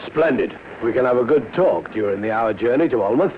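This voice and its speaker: A man's voice: medium pitch, lively intonation, a little fast, narrow, tinny audio, close to an everyday voice. A man acting as a genial, self-important colliery clerk in a 1950s radio drama. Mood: genial, proud. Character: genial, proud male colliery clerk carrying wages. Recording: noisy, thin old radio recording.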